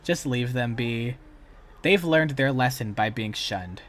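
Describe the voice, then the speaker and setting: A man's voice: mid-range voice, animated, takes it slowly, a bit bright. A man chatting casually as the host of a reaction livestream. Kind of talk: casual reaction stream chat.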